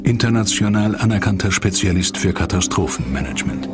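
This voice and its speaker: A man's voice: fairly low pitch, lively intonation, a little fast. A man reading scripted documentary narration. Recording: loud music under the voice. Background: loud background music.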